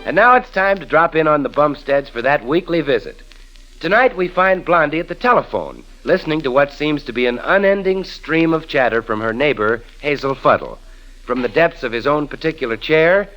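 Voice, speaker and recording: A man's voice: on the high side, animated, normal speed, a bit bright, low-quality recording. A man with an American accent announcing and selling in polished, emphatic 1930s radio style, on a vintage broadcast.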